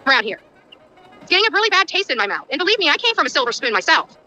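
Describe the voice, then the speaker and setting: A woman rants on a livestream in a high, piercingly bright voice. She is indignant and emphatic, racing along with lively swings in pitch. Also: very fast, soft background music.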